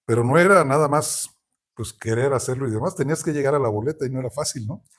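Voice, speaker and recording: A man from Veracruz, Mexico, with a Mexican Spanish accent, hosting an interview in a relaxed, conversational way with hesitant restarts. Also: medium pitch, fast talker. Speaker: interviewer host. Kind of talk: casual interview.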